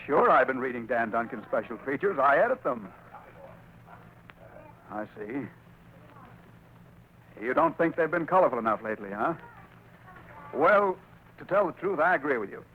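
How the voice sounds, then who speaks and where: An actor playing a frank, practical newspaper editor with an American accent and a brisk, clipped manner in a 1940s radio drama. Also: male voice, mid-range voice. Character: blunt, practical male newspaper city editor. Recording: tinny vintage radio recording, hiss.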